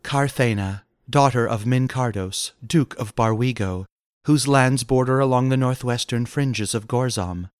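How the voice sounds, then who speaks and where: A man plays a young noblewoman of pulp fantasy. The voice is soft, smooth and clear, with formal archaic phrasing. The recording is dry and close. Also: mid-range voice, measured pace, no room sound, lightly acted. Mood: composed, confiding.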